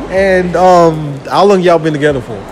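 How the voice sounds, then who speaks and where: A man asking passers-by casual questions in a shopping mall, speaking slangy and relaxed. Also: a little high, normal speed, over music. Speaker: street interviewer. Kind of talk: casual public interview. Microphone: handheld phone.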